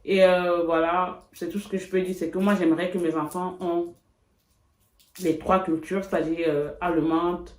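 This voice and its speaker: A woman from Cameroon, chatting reflectively in a casual couple vlog, with frequent pauses. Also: lowish voice, little pitch movement, darkish tone, roomy sound. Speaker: vlogger.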